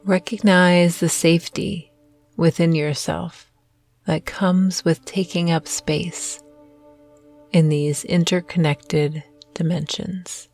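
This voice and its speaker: Slow, low-pitched woman guiding meditation. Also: fairly even intonation. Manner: soothing, gentle, measured with long pauses. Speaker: meditation guide. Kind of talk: guided meditation narration. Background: soft meditation music.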